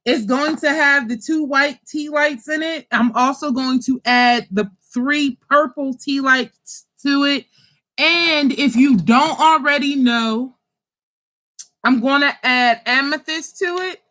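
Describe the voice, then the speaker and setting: A woman from Pittsburgh hosting a casual livestream about her spiritual kits, animated and chatty, swearing freely and calling her viewers y'all. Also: sits high, slow pace. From Pittsburgh, USA. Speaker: spiritual kit seller and channel host.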